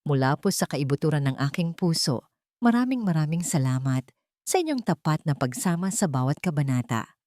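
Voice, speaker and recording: A woman narrating a scripted audio drama story in a sentimental, earnest way, with measured phrasing and dramatic pauses. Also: sits low, sing-song, darkish tone, dry recording, studio quality.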